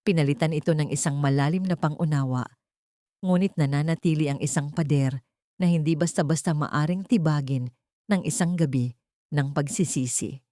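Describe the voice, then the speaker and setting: A woman narrating a dramatic story as an audiobook, with measured, sentimental delivery in short phrases. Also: sits low, mellow, dry recording, clean studio sound.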